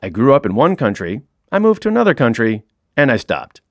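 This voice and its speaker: Middle-aged man, dry close studio read, animated swoops in pitch, wry self-deprecating comic narrator. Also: a little fast, no room sound. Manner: wry, self-mocking storyteller. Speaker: author reading his own audiobook.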